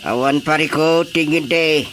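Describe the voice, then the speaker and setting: A man's voice: a little high, steady tone, a little slow, a bit bright, slight background noise. A man with an Ilocano accent playing a nervous, bumbling army sergeant in a comic radio drama, hesitant and exclaiming. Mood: uneasy, deferential. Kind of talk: radio drama comedy acting.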